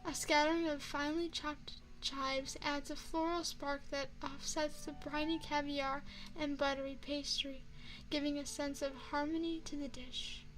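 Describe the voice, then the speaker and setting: A woman voicing a courteous female vampire chef and restaurant owner in an ASMR roleplay, speaking in a formal, measured, refined way. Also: sits high, flat delivery, a bit bright, poor audio. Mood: savoring, refined. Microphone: binaural microphone.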